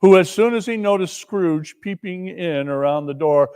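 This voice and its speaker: Male storyteller for a dramatic reading of a classic, voice pitched a little high. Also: moderate pace, a little mellow, clear, resonant tone, big-room sound. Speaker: narrator, dramatic reader.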